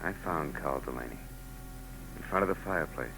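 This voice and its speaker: An actor with an American accent playing a world-weary, wisecracking private eye, narrating wryly in a 1940s radio noir drama. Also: male voice, a bass voice, expressive, normal speed, vocal fry, pushed and bright, faint music behind, exaggerated voice, rough recording. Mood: grim, uneasy. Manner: hard-boiled, wry. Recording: vintage 1940s radio recording.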